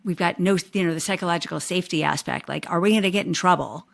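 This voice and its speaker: Gravelly-voiced woman podcast host. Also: lowish voice, fast, dry recording. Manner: affirming, reflective, frequent "you know". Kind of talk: conversational interview.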